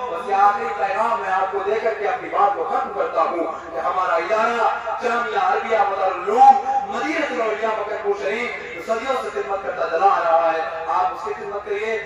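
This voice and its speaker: A young man preaching an Eid sermon in an impassioned, shouted style with rhythmic repetition. He is heard from a distance at a large prayer gathering. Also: high voice. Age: young adult. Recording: echoey hall, distant mic, loud crowd. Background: loud crowd.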